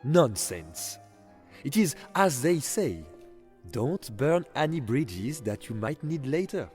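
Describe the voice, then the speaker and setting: Man voicing a lively, courteous French concierge. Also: mid-range voice, slow, soft background music, voice pushed up. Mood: courteous, welcoming. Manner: polite, formal, effusive. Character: courteous, eager French male hotel concierge. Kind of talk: audiobook character dialogue.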